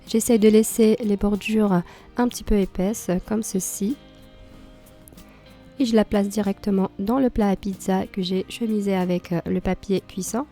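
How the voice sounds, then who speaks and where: A woman with a cold and a slightly hoarse voice, calmly explaining a cooking tutorial step by step. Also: mid-range voice, normal speed, soft background music. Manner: calm, explains step by step with small fillers. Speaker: cooking channel host.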